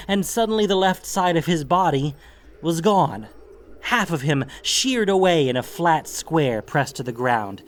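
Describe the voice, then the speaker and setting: A man narrating scripted fantasy fiction in a grave, deliberate way with theatrical emphasis. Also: medium pitch.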